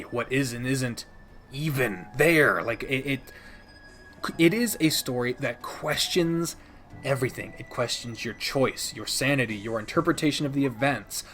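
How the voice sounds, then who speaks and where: A man's voice: mid-range voice, measured pace, fairly bright tone. A man giving opinionated, conversational review commentary as a video creator, speaking emphatically with dramatic build-ups and casual swearing. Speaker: video creator ranking favorite games.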